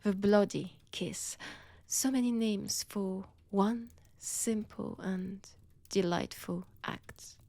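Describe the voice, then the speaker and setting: A woman's voice: mid-range voice, steady tone, mellow, dry recording. A woman with a French accent voicing a seductive, menacing female vampire in an intimate ASMR roleplay, whispery and teasing, close to the microphone. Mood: hungry, seductive. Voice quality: breathy, whispery. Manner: slow, teasing, deliberate delivery. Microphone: close, dry microphone.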